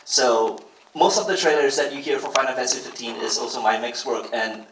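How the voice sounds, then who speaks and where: A man's voice: medium pitch, a little fast, recorded from a distance, noisy background. A man from Malaysia with a Boston-tinged American accent, introducing himself on stage in a friendly, self-assured way. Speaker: music mix engineer presenting at a launch event. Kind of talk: stage presentation to an audience. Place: large event hall. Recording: echoey hall, voice from off-mic.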